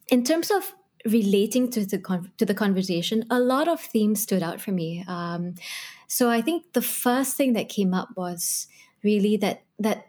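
A woman co-hosting a podcast, sharing her reflections in a thoughtful way with many pauses and restarts. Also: medium pitch, a little mellow. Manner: thoughtful, with frequent ums and rephrasing. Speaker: podcast co-host. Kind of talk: reflective discussion.

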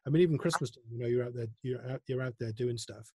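A man's voice: mid-range voice, quick pace, a little mellow, breathy edge, dry recording. A male host interviewing a guest in a friendly way, often stumbling over restarts of his words. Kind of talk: casual interview.

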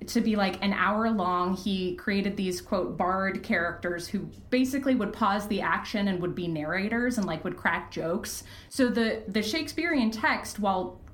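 Candid woman in a roomy, echoey space. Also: medium pitch. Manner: candid, self-deprecating, quick to laugh. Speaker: podcast guest, fiction podcast creator and writer. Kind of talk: casual interview chat.

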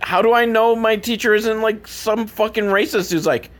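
A man's voice: sits high, fairly even intonation, normal speed. A man hosting a podcast, giving a casual, profane and sarcastic comedic recap of films. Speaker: podcast host. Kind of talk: comedic film recap commentary, casual rant.

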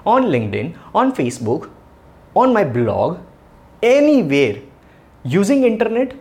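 A man in his thirties with an Indian English accent, explaining a topic in a casual, chatty video, laughing between points and asking rhetorical questions. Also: high-pitched. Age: 30s. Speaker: online marketing educator.

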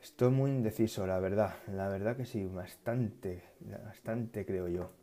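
A man chatting casually about tech news, halting often and correcting himself as he goes. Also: on the low side, mellow. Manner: halting, restarts and self-corrections, musing. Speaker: tech vlogger.

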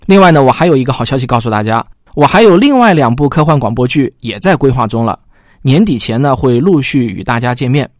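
A man's voice: mid-range voice, expressive, fast, dry recording, clean studio sound. A man presenting as a show host, talking to listeners in a conversational, orderly way. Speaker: host and producer.

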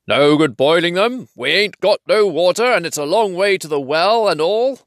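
Man voicing an old wizard, sly and brisk, over 60. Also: sits high, expressive, dry recording, clean studio sound, pitched above the performer's own voice. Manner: sly and commanding. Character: old male wizard mimicking troll voices.

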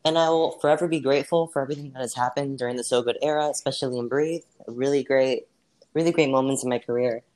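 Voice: medium pitch, fairly even intonation, fast talker, a little mellow, poor audio. A person answering as a guest in a casual role-played interview, hesitant and leaning on fillers, brushing off slips. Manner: hesitant, many fillers, laughs off mistakes. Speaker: interview guest playing a singer.

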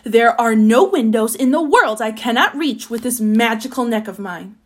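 Storybook giraffe acted by a woman, female, high, animated, superior, boastful, confident. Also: normal speed. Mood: smug, proud. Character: proud, superior, easily offended female giraffe.

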